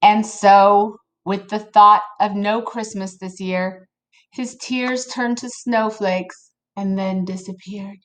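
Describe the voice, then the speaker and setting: A woman reading a picture book aloud to children in an expressive, playful way, with casual asides to her listeners. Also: medium pitch, slow pace. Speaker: storybook reader for children.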